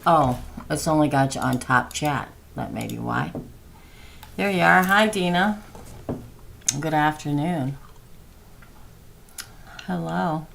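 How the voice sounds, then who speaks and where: A hoarse woman who is losing her voice, hosting a livestream reading and chatting softly and slowly. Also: deep voice, slight background noise. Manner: soft-spoken, slow and chatty. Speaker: tarot reader hosting a livestream. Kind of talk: casual livestream chat.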